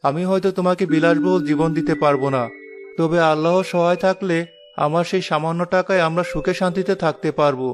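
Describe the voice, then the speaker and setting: A man's voice: on the high side, fairly even intonation, fast, close to an everyday voice. A man voicing a humble, pious young village imam in a cartoon story. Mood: humble, tender. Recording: music behind the voice.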